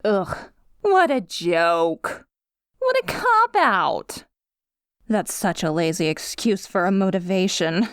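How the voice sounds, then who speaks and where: Woman voicing yandere villainess, ASMR roleplay, needy, taunting, highly animated pitch, unhurried, dry intimate sound. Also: clear, resonant tone, no echo, heavily acted. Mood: scornful. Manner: theatrical, coy, swooping between pouty and menacing. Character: theatrical yandere female supervillain, needy, taunting, obsessed with hero. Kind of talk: scripted ASMR roleplay monologue.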